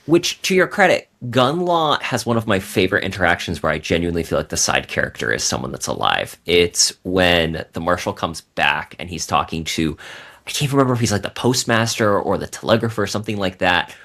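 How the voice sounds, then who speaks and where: A man's voice: low voice, animated, fairly quick, gravelly, a bit bright. A man speaking as a guest novelist on a podcast, explaining writing in an articulate, bookish, conversational way. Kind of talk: conversational interview talk about writing.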